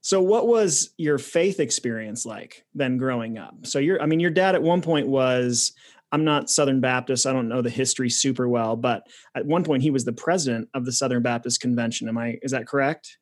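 A man's voice: medium pitch, moderate pace. A man hosting an interview in a casual, conversational style. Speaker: interviewer, host.

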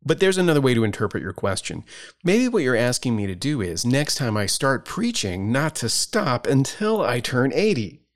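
Fifty-something male pastor, middle pitch, lively and measured, answering questions with gentle humor. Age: 50s. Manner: measured, explanatory, lightly humorous.

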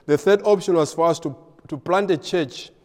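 Malawian pastor with halting speech. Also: male voice, fairly high pitch, darkish tone, long reverb tail. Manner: hesitant, many filler pauses. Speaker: pastor and missionary. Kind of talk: ministry update talk.

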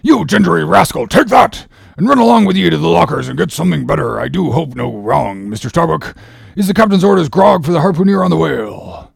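A man voicing a loud, mocking sailor mate, full of sarcastic bluster. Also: mid-range voice, sing-song, fairly quick, gravelly, slightly rough, no echo, studio quality, exaggerated voice. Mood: indignant.

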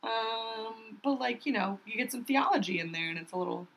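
A young woman co-hosting a podcast in casual chat, her voice a little raspy, laughing often and joking. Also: fairly high pitch, normal speed, a bit bright, rough recording. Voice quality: slightly raspy today. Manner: bubbly, laughs often, jokey asides. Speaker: podcast co-host.

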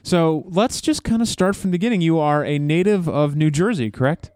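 A man's voice: fairly high pitch, animated, brisk, a little mellow, no echo. A man hosting a podcast and interviewing a musician in a friendly, chatty way. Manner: friendly, chatty, laughs easily. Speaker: podcast host. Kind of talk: conversational interview.

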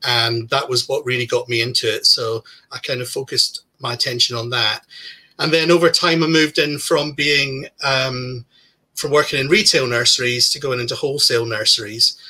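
A man in his forties, from Scotland, chatting casually as a guest about his career, relaxed and a little self-deprecating. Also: medium pitch, normal speed, low-quality recording. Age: 40s. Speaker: podcast guest, plantsman. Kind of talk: casual interview talk.

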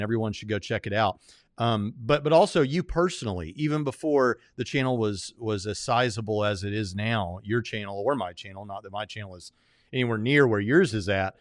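A man's voice: fairly low pitch, dry recording, studio quality. A man hosting an interview show, talking in an earnest, conversational way and circling around his points.

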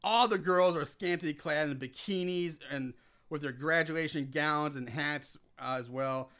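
A man's voice: a little high, a little slow, fairly bright tone, clean tone. A man co-hosting a podcast, casually recapping a movie scene by scene, rambling, laughing and swearing freely. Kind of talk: casual chat.

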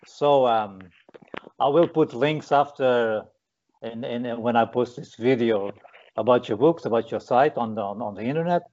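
A man's voice: medium pitch, normal speed, a little mellow. A man from Portugal interviewing a guest, hesitant with many fillers and restarts. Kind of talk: video interview. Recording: dull lo-fi audio.

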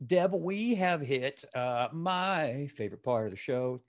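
A man in a relaxed podcast conversation, with a mid-range voice. He jokes around, drops a casual swear now and then, and keeps the interview loose and easygoing. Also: mid-range voice, unhurried. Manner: joking, laid-back, swears casually. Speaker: podcast host.

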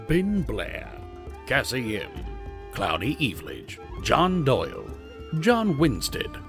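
A man plays a grandiose theatrical herald with an extremely animated, swooping delivery. He declaims scripted announcements in an old-fashioned manner, with music clearly playing behind him. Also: mid-range voice. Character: theatrical male herald announcing a play in archaic flourishes.

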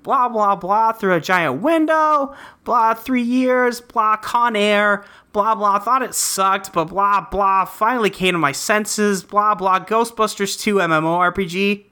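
A woman's voice: mid-range voice, a little slow, hardly any pauses, sharp tone. A woman voicing an earnest, rambling teenage boy in a webcomic dub, breezily recounting his adventures. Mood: rambling, breathless. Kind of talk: character dub reading of a webcomic.

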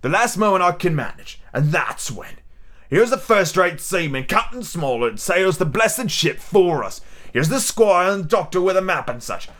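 A cunning old-salt pirate cook acted by a man reading a storybook, pitched fairly high. He boasts and bosses his mates in theatrical, emphatic sailor talk. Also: very animated, normal speed, fairly bright tone. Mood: scornful, commanding. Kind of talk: audiobook character acting.

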